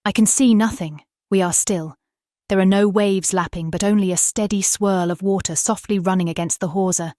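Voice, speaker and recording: A woman's voice: medium pitch, steady tone, normal speed, warm tone, no echo, clean studio sound, natural voice. An actress as a dreamy young woman in trance. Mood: entranced, eerie. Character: gentle young married woman in hypnotic trance. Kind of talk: dramatised gothic audiobook acting.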